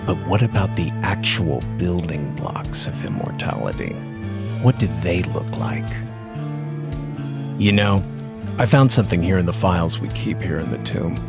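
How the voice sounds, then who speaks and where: A man playing the sly, spooky tomb-keeper host of a horror radio series, speaking theatrically with ominous, deliberate pauses. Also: low-pitched, lively intonation. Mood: sly, inviting. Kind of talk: old-time horror anthology radio hosting. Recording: music behind the voice.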